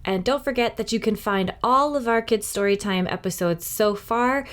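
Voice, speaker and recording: A woman's voice: medium pitch, normal speed. A woman hosting a children's storytime, narrating expressively and addressing young listeners directly. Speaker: library storytime host and reader.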